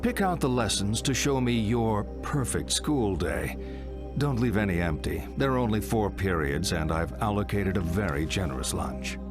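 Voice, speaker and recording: An actor playing a blunt, probing psychotherapist in a video game, talking in a deliberate, wry way. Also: male voice, lowish voice, animated, music in the background. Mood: instructing. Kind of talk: video game cutscene acting.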